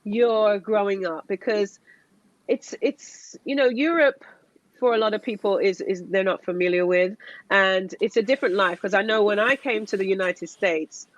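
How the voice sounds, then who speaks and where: Casting: woman interviewer, mid pitch, chatty, laughing host, dry dull remote audio. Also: moderate pace, no echo. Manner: chatty, laughing, self-deprecating. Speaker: show host, former Olympic athlete. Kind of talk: friendly interview hosting.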